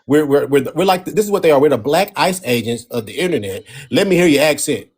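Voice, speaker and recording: A man hosts a livestream in loose, casual talk. He mocks his opponents and acts out their voices in an animated way, his voice sitting a little high. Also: fast, breathy edge. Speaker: livestream host.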